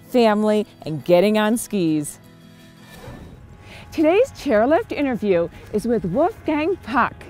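Upbeat woman host with lively pitch. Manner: upbeat, laughs often, friendly. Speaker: host, former ski racer. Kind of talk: presenter intro and casual interview. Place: outdoors on a ski slope and chairlift.

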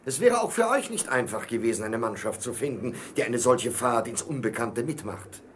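An actor playing an enthusiastic, self-assured baron with a husky voice and grand, emphatic manner in a German radio play. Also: male voice, medium pitch, expressive, moderate pace, slight background noise, natural voice. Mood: indignant.

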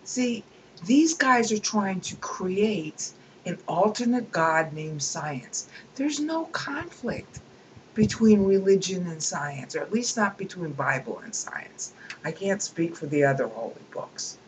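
A woman's voice: on the low side, lively intonation, slow pace, a little hiss or hum, rough recording. A woman reading a book aloud at her computer and breaking off into emphatic, scornful commentary. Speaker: reader and commentator.

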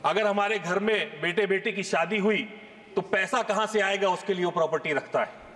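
A man in his thirties delivers a forceful parliamentary speech, pressing rhetorical questions and gesturing as he talks. His voice sits high for a man, and the large chamber gives it a long, trailing reverb. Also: normal speed. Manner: emphatic, rhetorical questions, gesturing while speaking. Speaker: member of parliament. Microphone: fixed desk microphone.

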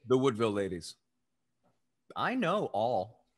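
A man's voice: mid-range voice, very animated, a little slow. A young man playing a suave, scheming rake in a period stage comedy, sly and theatrical. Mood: rueful. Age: young adult. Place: dark indoor room, video call.

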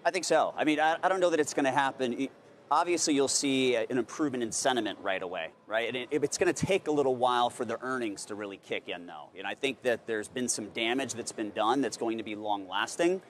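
A man's voice: medium pitch, fast, a little hiss or hum. Casual thirtyish male wealth manager. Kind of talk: financial news commentary. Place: TV studio on a trading floor.

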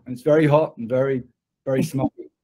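A man in his sixties with a British accent talks as a guest in an online interview. His voice sits at a middle pitch, and he tells his story conversationally from a desk in an office. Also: fairly even intonation, quick pace, dark tone. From born in England, grew up in Zimbabwe. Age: 60s. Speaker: interview guest, production designer. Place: indoor studio office on a video call. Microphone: computer mic at desk distance on a video call.

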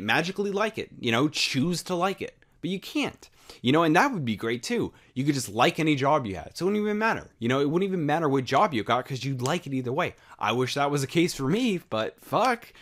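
A man's voice: fairly high pitch, very animated, fairly quick, fairly bright tone. A young American man talking alone in a casual, rambling way, full of fillers, rhetorical questions and casual swearing. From United States. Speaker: podcast host. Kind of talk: unscripted solo monologue.

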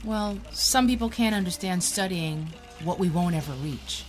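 An actress playing a kindly, slightly awkward physics professor in a natural audio drama. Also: female voice, on the low side, moderate pace, soft background music, close to an everyday voice. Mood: kindly, curious.

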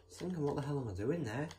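A male host chatting casually while building in a game, rambling with lots of fillers and restarts, sometimes bursting into excited exclamations. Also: on the high side, slight background noise. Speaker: gameplay channel host.

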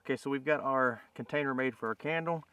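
Bright-voiced man giving a casual tutorial. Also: mid-range voice, quick pace, clean tone, no room sound. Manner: plain-spoken, informal, explanatory. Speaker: bushcraft demonstrator, host. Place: outdoors in woodland.

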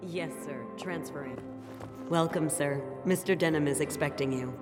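A woman's voice: deep voice, moderate pace, natural voice. An actress playing a polite, efficient switchboard receptionist in a video game, formal in manner. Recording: long reverb under music.

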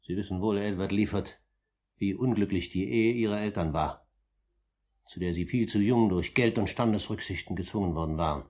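A grave older gentleman with a low, husky voice. He speaks formally and deliberately, pressing each point with firm emphasis, in the manner of 1950s German radio drama. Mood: grave, measured. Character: composed, insistent older gentleman, grave and accusing uncle.

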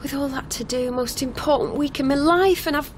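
A young woman played by an actress in a radio drama, riding as a hitch-hiker in a noisy vehicle. She is flustered and apologetic, with a halting, hesitant delivery. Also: high voice, natural voice. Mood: hesitant, frustrated. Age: young adult. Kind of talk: naturalistic radio drama acting. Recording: clear vehicle noise behind. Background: car interior ambience, engine noise.

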